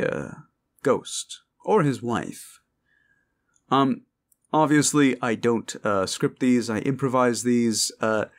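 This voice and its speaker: A man, a voice actor and channel host, chatting casually and earnestly to his listeners in an unscripted ramble. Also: medium pitch.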